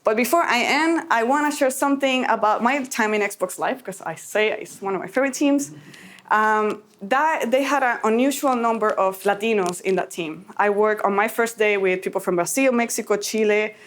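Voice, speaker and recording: A woman's voice: mid-range voice, moderate pace. A woman from Puerto Rico giving an earnest, encouraging talk to a conference audience. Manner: earnest, encouraging, a little emotional. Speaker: conference speaker.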